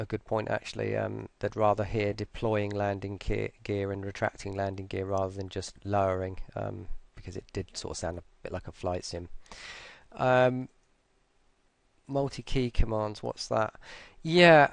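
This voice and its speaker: A tired man giving a casual, unscripted software walkthrough in a hesitant, low-key, rambling way, speaking close to the mic. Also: gravelly, dry recording. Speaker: voice pack creator presenting an update.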